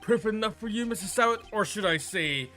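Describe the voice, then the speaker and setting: An earnest young defense lawyer from a video game, read by a man, exasperated and pressing his point in a forceful, raised voice. Music plays behind. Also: high voice, lively intonation, a little fast, pitched above the performer's own voice. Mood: accusing. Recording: music under the voice.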